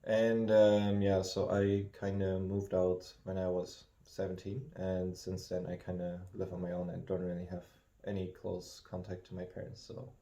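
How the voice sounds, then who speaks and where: A very deep, mellow-toned man, chatting as a co-host. He speaks haltingly and thoughtfully, often restarting his sentences. The room around him is noticeably echoey. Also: monotone. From Germany. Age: 30s. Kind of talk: casual chat. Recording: roomy echo.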